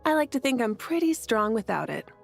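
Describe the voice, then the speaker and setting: A woman's voice: a little high, big pitch swings, fairly quick, creaky. Breezy, self-assured female leader. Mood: breezy, confident. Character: confident easygoing female leader god of a fiery nation. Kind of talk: video game voice acting. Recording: game music behind.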